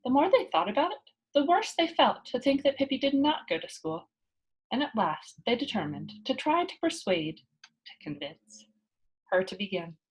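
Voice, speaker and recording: Expressive, high-voiced woman reading a storybook. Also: normal speed, husky, rough recording. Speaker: storybook reader. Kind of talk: expressive read-aloud children's narration.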